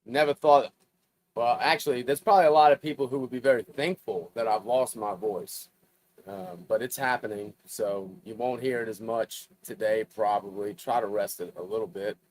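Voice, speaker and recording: A man hosting a live stream and chatting casually with viewers while his voice is going hoarse. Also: lowish voice, steady tone, normal speed, dry recording, poor audio. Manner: laid-back, chatty, asking viewers to like and subscribe.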